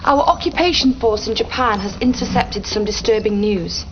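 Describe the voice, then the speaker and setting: An actress playing a polite, businesslike Allied military officer delivering mission briefings in a game cutscene. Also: female voice, medium pitch, moderate pace, lo-fi sound, slight background noise, natural voice.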